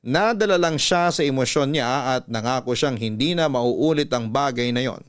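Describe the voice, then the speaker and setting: A man with a Filipino accent narrating a scripted, dramatic letter as a radio storytelling host. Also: medium pitch. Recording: music behind the voice. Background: background music.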